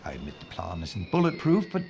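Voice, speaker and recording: Male actor as composed British wartime inspector, audio drama. Dry, formal wit with animated inflection, slightly dull sound. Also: low-pitched, vocal fry, slight background noise, full character voice. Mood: wry, calculating. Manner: formal, droll, measured. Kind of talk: period audio drama acting. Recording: muffled, with noticeable background ambience.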